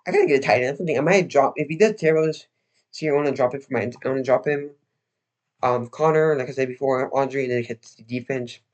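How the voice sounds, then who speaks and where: A man's voice: mid-range voice, muffled recording. Young man rambling on a livestream. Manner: rambling, halting, with frequent restarts and fillers. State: losing his voice, slightly hoarse. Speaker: livestream host. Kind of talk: casual livestream chat.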